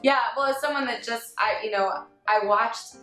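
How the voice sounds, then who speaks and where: A young adult woman chatting casually about anime in an excitable, giggly way. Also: on the high side, moderate pace. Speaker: panelist, amateur fan-dub voice actress. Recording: echoey room, faint music underneath.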